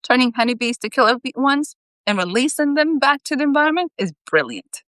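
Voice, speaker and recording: A woman's voice: high voice, sing-song, fairly quick, a bit bright, dry recording, clean studio sound, pitched above the performer's own voice. A woman voicing a senior male scientist in a storybook, teasing and nagging a junior colleague with lazy condescension. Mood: teasing, dismissive.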